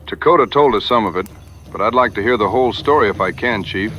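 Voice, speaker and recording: An actor playing a confident, plainspoken American frontier hunter with laconic, folksy dry humor in a western audio drama. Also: male voice, fairly low pitch, fast talker, sharp tone, soft background music. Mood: respectful.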